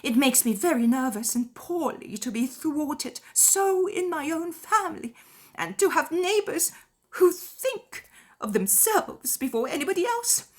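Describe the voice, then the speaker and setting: Audiobook matron, middle-aged, shrill and self-pitying, plaintive delivery pitched well up. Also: female voice, sing-song, measured pace. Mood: aggrieved, whining. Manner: plaintive, dramatic, aggrieved. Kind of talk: dramatized audiobook character.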